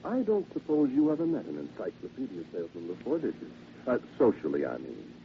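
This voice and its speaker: A man's voice: on the low side, wildly swooping pitch, fairly quick, background noise. An actor playing a bitter, sardonic middle-aged widower who works as a salesman, in 1940s radio drama style, on a muffled vintage radio transcription. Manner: wry, taunting, chuckling to himself.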